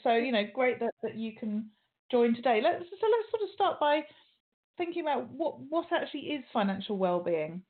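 A middle-aged woman hosts an interview show in a relaxed, chatty way, often restarting her sentences. Her voice sits fairly high. The sound is thin and tinny, like a phone line. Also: moderate pace. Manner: chatty, with frequent restarts and fillers. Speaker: radio show host.

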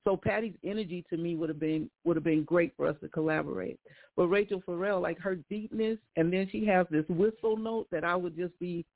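An older woman, a guest singer, chatting on a radio call-in show with a deep voice and frequent laughter. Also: a little fast. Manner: laughs often, warm exclamations. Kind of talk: casual radio call-in chat. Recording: tinny phone line.

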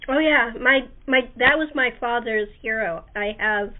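High-voiced chatty woman on a phone line. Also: measured pace. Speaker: interview host. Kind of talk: casual chat, sharing anecdotes. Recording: band-limited phone-line sound.

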